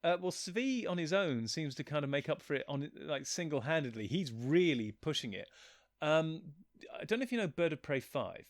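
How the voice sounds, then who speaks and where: A man's voice: on the high side, animated, normal speed, no echo, studio quality. A man speaking casually as a writer being interviewed, in an informal conversational way. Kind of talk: casual chat.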